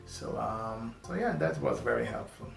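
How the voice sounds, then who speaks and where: Male host, native Portuguese speaker, accented English, mid-pitched, loose halting delivery, echoey room with soft music underneath. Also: animated, creaky. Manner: halting, many fillers and restarts. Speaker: host reacting to a video. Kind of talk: casual reaction commentary. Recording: roomy echo, faint music.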